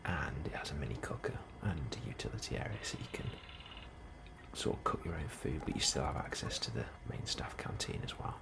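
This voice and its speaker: A man in a soft, hushed ASMR role-play, speaking calmly and unhurriedly as a helpful colony immigration officer, close to the microphone. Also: rumbling low. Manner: hushed, unhurried, sometimes dropping into whisper. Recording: clearly audible background ambience noise.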